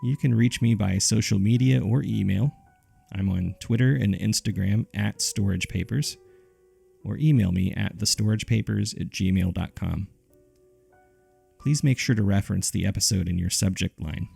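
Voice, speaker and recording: A man with a gravelly, husky voice reading scripted horror case files in a measured, subdued way, as narrator and host. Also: on the low side, mellow, faint music behind.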